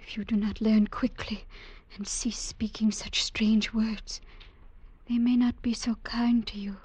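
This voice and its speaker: Breathy, slow-spoken gentle young woman. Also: fairly high pitch, flat delivery, dry recording, low-quality recording. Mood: hushed, worried. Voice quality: breathy, hushed. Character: gentle young blind village woman, elder's daughter. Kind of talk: 1940s radio acting.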